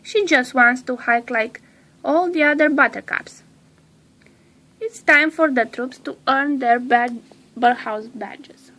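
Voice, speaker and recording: A woman narrating a children's storybook aloud in a deliberate way, drawing out some words. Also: high-pitched, sharp tone.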